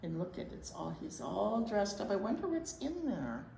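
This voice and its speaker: A woman's voice: mid-range voice, sing-song, normal speed, gravelly, dark tone. A woman reading a picture book aloud as a children's storytime host, playfully exclaiming and commenting on the pictures as she goes. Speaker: children's librarian, storytime reader. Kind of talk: animated picture-book read-aloud with chatty asides. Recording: roomy echo with some background noise.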